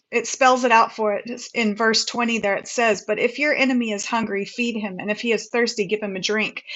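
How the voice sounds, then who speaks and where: A woman's voice: a little high, fairly bright tone, muffled recording. A woman guest on a faith chat, quoting scripture and speaking with hesitations. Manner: hesitant, many fillers and restarts, quotes scripture. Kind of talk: faith-based casual discussion.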